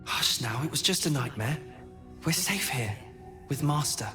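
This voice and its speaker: A man voicing a gentle, loyal talking dog in a video game, soothing and earnest in simple words. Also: on the high side, animated, measured pace. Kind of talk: video game dramatic acting. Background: music under the voice.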